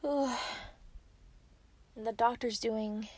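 A woman in an ASMR roleplay voicing an obsessive, sweetly possessive female werewolf, breathy and often dropping into a whisper. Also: fairly high pitch, steady tone, warm tone, no room sound. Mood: falsely sweet. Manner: slow, teasing, giggly.

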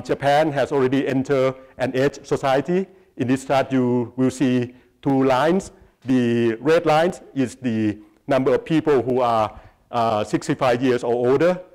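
Guest lecturer: middle-aged man, Thai accent, medium pitch, pausing mid-phrase as he presents. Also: darkish tone. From Thailand. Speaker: guest speaker, research institute president. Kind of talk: public lecture with slides. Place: lecture hall, on stage before seated audience.

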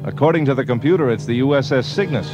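An actor playing a decisive, cautious spaceship captain with a brisk, firm manner. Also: male voice, mid-range voice, muffled recording, music in the background, lightly acted. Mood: puzzled.

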